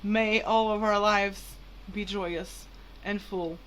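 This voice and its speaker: Slow-paced woman, native Portuguese speaker, rambling. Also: medium pitch, steady tone, fairly bright tone, slight background noise, low-quality recording. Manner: hesitant, rambling, informal. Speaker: vlogger. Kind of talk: casual rambling vlog to viewers.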